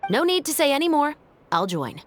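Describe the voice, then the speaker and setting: A woman's voice: sits high, very animated, fairly quick, faint music behind. A woman playing a firm, confident young police captain in an anime-style game, speaking in an authoritative, matter-of-fact way. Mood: easygoing.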